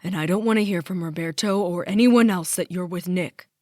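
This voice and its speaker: A woman's voice: mid-range voice, normal speed, no room sound, studio quality, lightly acted. A woman voicing a bitter, stern middle-aged father who speaks in a hard, clipped, raised voice.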